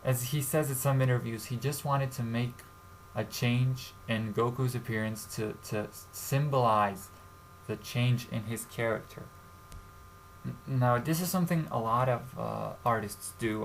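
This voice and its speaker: A young man from Serbia hosting a casual commentary vlog, talking in a halting way with many fillers and restarts. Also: medium pitch, steady tone, vocal fry, rough recording. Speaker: YouTube channel host. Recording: faint background noise.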